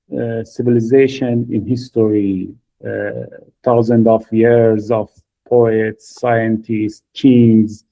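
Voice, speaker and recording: A man from Iran speaking very slowly, pausing often between words as he searches for his English. His voice comes through a narrow, phone-like line. The tone is conversational, as on a podcast. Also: mid-range voice, gravelly. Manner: halting, many filler pauses, non-native phrasing. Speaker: podcast co-host. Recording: phone-like thin audio.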